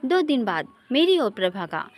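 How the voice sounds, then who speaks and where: Lively high-pitched woman storyteller. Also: moderate pace. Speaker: story narrator. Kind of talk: scripted emotional story narration.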